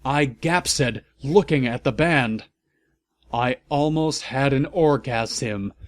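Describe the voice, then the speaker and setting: A man's voice: medium pitch, dry recording, clean studio sound. A man narrating a story aloud as a theatrical comedic reading, with mocking asides. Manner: theatrical, deliberately reproducing misspellings. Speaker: narrator and host reading fan fiction aloud.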